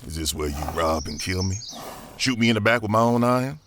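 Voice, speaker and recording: An actor playing a cynical, distrustful gunslinger in a Western audio drama, sardonic and defiant, with a Southern American accent. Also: male voice, lowish voice, expressive, fairly quick, background noise, lightly acted. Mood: suspicious, sardonic. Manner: sardonic, suspicious.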